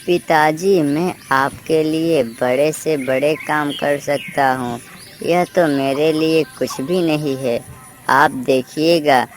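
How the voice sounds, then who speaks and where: A woman's voice: low-pitched, slight background noise. A woman voicing a devoted, brave young crow son with an earnest, reassuring manner in a children's cartoon. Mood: confident, eager. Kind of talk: Hindi children's cartoon story dialogue.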